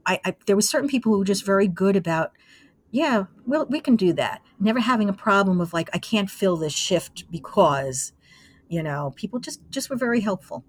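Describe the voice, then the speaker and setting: A woman's voice: mid-range voice, lively intonation, normal speed. An older woman casually reminiscing as a guest in an interview. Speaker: interview guest, former college radio engineer.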